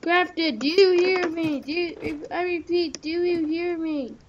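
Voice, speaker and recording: High-pitched voice, unhurried gamer chat, roleplay talk, loud background noise. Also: fairly even intonation. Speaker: player in a gaming video. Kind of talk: casual in-character chat during a Minecraft roleplay. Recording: muffled low-grade mic with loud noise.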